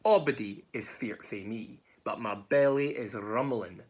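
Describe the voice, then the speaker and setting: A woman's voice: extremely low pitch, measured pace, darkish tone, breathy edge, heavily acted. A woman voicing a bold, cheeky small mouse in Scots for a storybook, with a Scottish accent. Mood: boastful.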